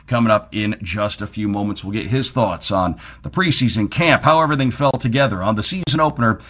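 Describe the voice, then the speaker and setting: A man's voice: lowish voice, brisk, a bit bright. A man hosting a sports radio show in an upbeat talk style.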